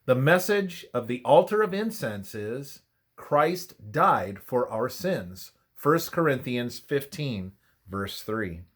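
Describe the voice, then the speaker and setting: A man reading a scripted religious study aloud in a measured, reverent, formal manner. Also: medium pitch. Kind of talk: scripted devotional narration, read aloud.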